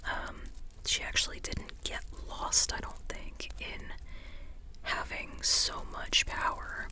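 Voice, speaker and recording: Slow, rambling ASMR whisperer. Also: sharp tone. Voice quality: breathy unvoiced whisper. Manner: informal, rambling, often checking in with "you know". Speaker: book reviewer and artist.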